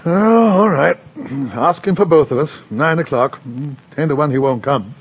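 A man's voice: on the high side, animated, moderate pace. An actor playing a pompous, shrewd middle-aged businessman and indulgent father in a vintage radio drama, blustering along. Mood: reluctant. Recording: dull, hissy old radio recording.

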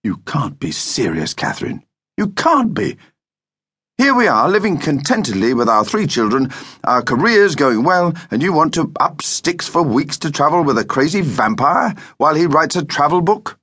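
A man performs an exasperated storybook dad. He is stubborn and dismissive, hitting his words with indignant emphasis. The pitch jumps about in a very lively way. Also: moderate pace, few pauses, slightly rough, no room sound, full character voice. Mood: exasperated disbelief. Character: grumpy, exasperated, stubborn father.

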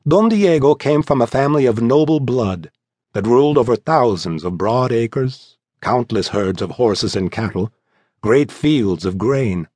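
Expressive male audiobook narrator, very animated. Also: medium pitch, measured pace, no echo. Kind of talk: scripted dramatic narration. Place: dry studio.

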